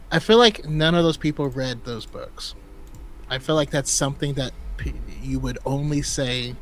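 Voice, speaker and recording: A man's voice: medium pitch, fairly bright tone, a little hiss or hum, rough recording. A man co-hosting a casual livestream chat, opinionated, stammering through restarts and laughing a lot. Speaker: podcast co-host.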